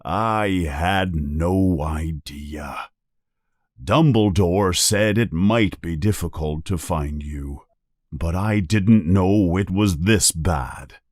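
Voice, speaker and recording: A man voicing a big gruff but kind-hearted giant in a storybook reading, growling low and slow. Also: a little mellow, dry recording, studio quality. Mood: gruff, troubled.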